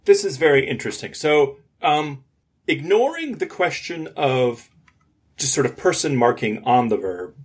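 A man's voice: fairly high pitch, very animated, measured pace, husky. A man co-hosting a podcast, explaining technical ideas step by step in an informal discussion. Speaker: podcast co-host.

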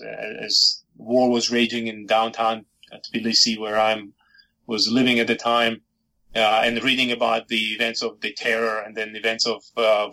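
Middle-aged man from Georgia, native Georgian speaker, thoughtful historian guest, bright gravelly tone. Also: lowish voice, rough recording. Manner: thoughtful, many fillers and restarts. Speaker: historian and author. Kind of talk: podcast interview answers.

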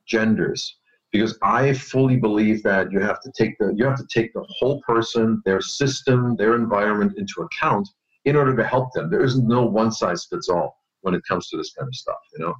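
A man from Germany with a fairly low voice speaks as a coaching expert in an interview. He is conversational and emphatic, often restating a phrase to stress it. The recording is dull and somewhat band-limited. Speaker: executive coach, interview guest.

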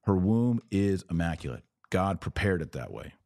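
A man's voice: deep voice, sing-song, normal speed, a little mellow, no echo, clean studio sound. An American man hosting a religious podcast, talking informally to online viewers in an emphatic way with repeated phrases and rhetorical questions.

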